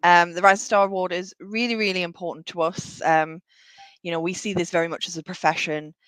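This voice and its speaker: A woman co-hosting a live webcast, friendly and brisk, chatting about work. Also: lowish voice, fairly even intonation, a bit bright, no echo, low-quality recording. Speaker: co-host.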